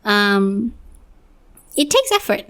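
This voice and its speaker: A young woman hosting a solo podcast, slightly hoarse, talking in a rambling, reflective way with many restarts. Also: mid-range voice, big pitch swings, takes it slowly, a bit bright. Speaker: podcast host.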